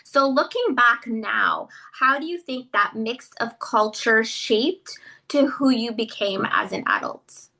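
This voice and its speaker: A woman's voice: a little high, lively intonation, slow, creaky, pushed and bright. A woman hosting a podcast interview, reading an upbeat intro and then asking questions. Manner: upbeat, reads a scripted intro, then affirming listener. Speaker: podcast host.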